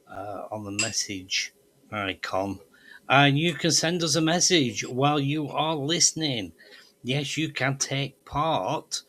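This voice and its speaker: A man's voice: medium pitch, pushed and bright. A man presenting an amateur radio tutorial, explaining methodically step by step over a demonstration.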